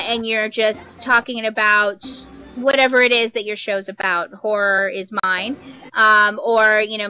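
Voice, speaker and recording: Bright, high-voiced woman explaining things informally. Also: poor audio. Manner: chatty, explains in plain colloquial terms. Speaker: fiction podcaster giving a workshop. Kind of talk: informal instructional talk.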